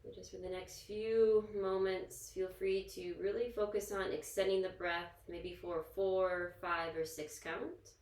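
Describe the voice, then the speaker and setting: A woman yoga instructor leading a guided relaxation in a soothing, gentle, informal manner, leaving pauses between instructions. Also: medium pitch, little pitch movement. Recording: echoey, roomy sound.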